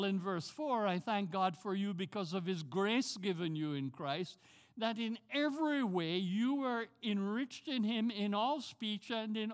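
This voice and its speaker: An older man from Jamaica with a Jamaican accent, preaching a sermon as a pastor and driving his points home by repeating key words. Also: sits high. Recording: long hall reverb.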